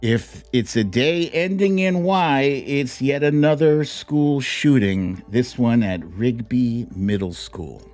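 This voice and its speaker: A man hosts a live online show with music behind him. His delivery is theatrical and playful, with pitch that swings widely and a slow, drawn-out pace. He addresses his viewers directly, like a showman stretching his exclamations. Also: animated, a little mellow. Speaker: host of a live streamed show. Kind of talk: live chat-show talk, greeting viewers by name.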